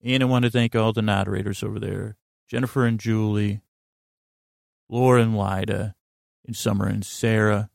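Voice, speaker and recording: A man hosting a bedtime podcast in a creaky voice, rambling and meandering in a halting, lulling, gently silly way. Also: lowish voice, level tone, no room sound, clean studio sound. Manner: meandering, halting, repeats and trails off. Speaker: podcast host.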